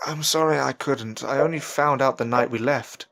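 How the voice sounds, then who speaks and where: A man playing an earnest, anxious upper-class gentleman learning magic in an audio drama, speaking politely and formally with a hesitant stammer. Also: mid-range voice, normal speed, vocal fry. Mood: embarrassed, proud. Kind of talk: audio drama acting with narrated reflections. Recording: voice over prominent background music.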